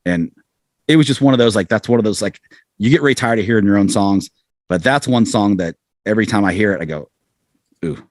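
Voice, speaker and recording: A man's voice: fairly low pitch, brisk, long pauses, no room sound, studio quality. A middle-aged American man talking casually as a musician being interviewed, rambling along in a self-deprecating way. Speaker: musician guest, band frontman.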